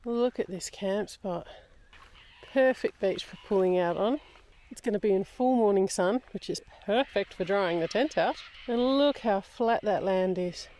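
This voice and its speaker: A woman's voice: mid-range voice, a little slow, a little mellow. Australian woman vlogging outdoors, noisy background. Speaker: solo canoe expedition vlogger. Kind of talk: casual outdoor vlog narration. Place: outdoors on a river and riverside campsite. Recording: outdoor camera audio with clear background noise.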